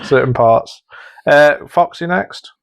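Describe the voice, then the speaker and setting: A man's voice: on the high side, slow, vocal fry, clean tone. A man co-hosting a podcast, reacting and judging in a casual, chatty way. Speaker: podcast co-host.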